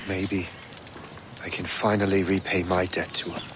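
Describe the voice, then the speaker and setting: Low, earnest young sea captain, actor's performance. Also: male voice, flat delivery, normal speed, noisy background, lightly acted. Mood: concerned, resolved. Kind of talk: dramatic game cutscene acting.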